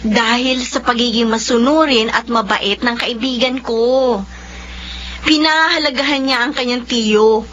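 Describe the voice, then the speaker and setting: An actress playing the heroine's loyal, outspoken best friend in a radio drama. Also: female voice, a little high, measured pace, slight background noise. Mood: pressing. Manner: indignant, lively. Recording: muffled lo-fi audio, faint music.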